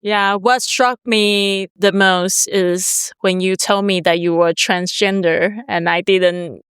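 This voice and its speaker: A woman's voice: mid-range voice, fairly even intonation, slow, fairly bright tone, clear, resonant tone, dry recording, clean studio sound. A woman hosting a casual interview, speaking in an admiring, gushing way. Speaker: host, interviewer.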